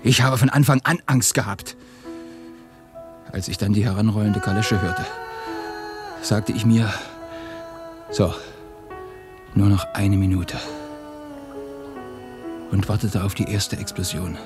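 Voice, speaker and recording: A man acting in a German radio drama as an ashamed, despairing Russian revolutionary, halting and confessional, often hushed. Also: on the low side, fairly even intonation. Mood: ashamed, fearful. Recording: studio radio play with music behind.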